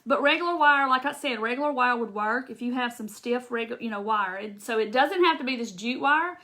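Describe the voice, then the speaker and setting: Southern woman, live craft host; crisp bright tone, chatty and rambling, talks right to viewers. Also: a little high, fairly quick. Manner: chatty, talks straight to viewers and answers comments; rambling with restarts and laughs.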